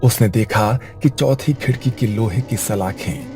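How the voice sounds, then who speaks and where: A man's voice: lowish voice, unhurried, music in the background. A man narrating a scripted horror story in a suspenseful storytelling manner.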